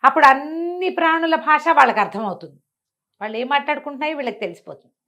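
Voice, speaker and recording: ఆంధ్ర యాసలో కథ చెప్పే మహిళ, కాస్త హెచ్చు స్వరం. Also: female voice, high-pitched, quick pace, pushed and bright. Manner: conversational, explains with asides and rhetorical questions. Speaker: storyteller. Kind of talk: informal spoken bedtime storytelling for a listener.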